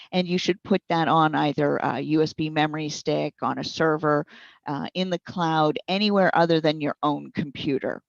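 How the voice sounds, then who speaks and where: A woman's voice: deep voice, gravelly, no echo, lo-fi sound. A woman working as a trainer, giving practical, step-by-step explanations with examples in an interview. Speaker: speech recognition consultant and trainer.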